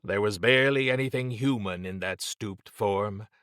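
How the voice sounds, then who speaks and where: A man narrating dramatic fiction in a measured, suspenseful and deliberate way, close to the microphone. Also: on the low side, a bit bright, smooth, no echo. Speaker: audiobook narrator.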